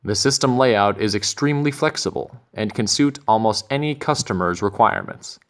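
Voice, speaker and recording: A man reading scripted narration for a corporate training film in a measured, methodical way. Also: lowish voice.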